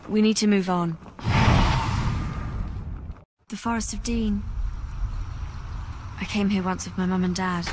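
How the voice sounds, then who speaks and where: Lady playing downcast young witch, sensible, medium pitch, noisy behind her. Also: fairly even intonation, normal speed, close to an everyday voice. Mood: sombre. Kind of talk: dramatic scripted game acting. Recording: clear background noise.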